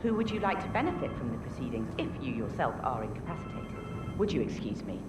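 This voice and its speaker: A woman playing a brisk, officious recruiter for shady test trials in a video game, speaking formally. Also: a bass voice, sing-song, over loud music. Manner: formal, businesslike. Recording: echoey room with loud background noise.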